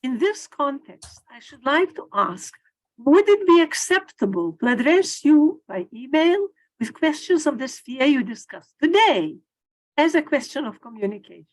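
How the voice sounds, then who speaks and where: Female attendee, high and lively voice, formal and courteous. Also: moderate pace, low-quality recording. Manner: polite and formal. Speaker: audience member asking a question. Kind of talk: formal question in a webinar.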